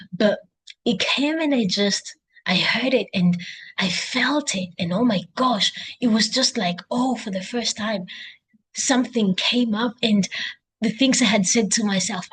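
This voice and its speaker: A woman's voice: mid-range voice, a bit bright, a little hiss or hum, poor audio. A young woman with a Kenyan accent talking casually to her laptop at home, thinking aloud in an unscripted, self-encouraging video diary. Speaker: video diarist. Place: indoors at home, by a couch.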